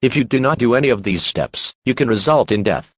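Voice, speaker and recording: A man's voice: medium pitch, lively intonation, a little fast, breathy edge, no room sound. A man reading a scripted emergency alert in a formal, impersonal, mechanical manner.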